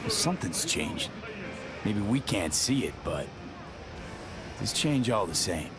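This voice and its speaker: A young street-smart mercenary from a game. He speaks fairly low, in a wry, casual, clipped manner, over clear music. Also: very animated, takes it slowly. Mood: reflective.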